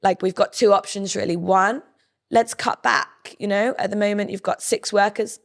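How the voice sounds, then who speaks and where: A woman in her thirties with a croaky, husky voice, telling her story candidly as a podcast guest. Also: medium pitch, fairly even intonation, moderate pace. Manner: candid, storytelling, lists things off. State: hoarse, croaky. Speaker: podcast guest, business co-owner. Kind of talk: casual interview chat.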